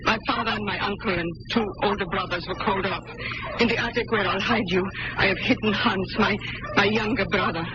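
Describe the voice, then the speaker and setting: A woman's voice: medium pitch, steady tone, a little fast, close to an everyday voice. A woman playing a brave, kind young German farm woman who shelters fugitives, in an old-time radio drama, speaking earnestly. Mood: earnest, calm. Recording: dull, very noisy radio drama recording.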